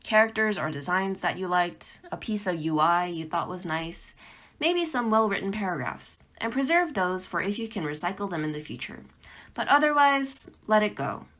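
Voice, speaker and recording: A woman's voice: medium pitch, expressive, moderate pace, a bit bright. A woman presenting a pre-recorded instructional talk as a game developer, speaking in an emphatic, encouraging way.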